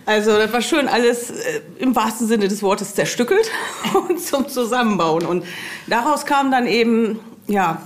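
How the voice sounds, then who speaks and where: A woman's voice: a little high, lively intonation, normal speed. A middle-aged woman talking casually as a podcast guest, telling anecdotes in an amused, meandering, hesitant way.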